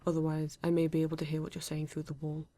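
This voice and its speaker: A woman voicing a polite, formal male android detective in an ASMR roleplay, with a British accent and stiff, measured phrasing. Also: low-pitched, monotone, warm tone.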